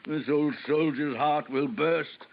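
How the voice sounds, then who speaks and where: An actor playing an effusive old French soldier, gallant and slyly sinister, in florid vintage radio horror style. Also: male voice, on the high side, fairly even intonation, slow. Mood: effusive, jovial. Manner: florid, exclamatory, gushing with chuckles. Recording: muffled, noisy old radio recording.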